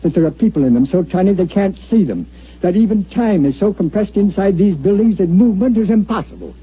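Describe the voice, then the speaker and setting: An actor playing a brash, commanding newspaper editor who talks in punchy orders, in 1950s radio drama style. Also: male voice, high voice, lively intonation, fairly quick, breathy edge, heavily acted. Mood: brisk, triumphant. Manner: bossy, punchy, wisecracking. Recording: muffled old-time radio recording.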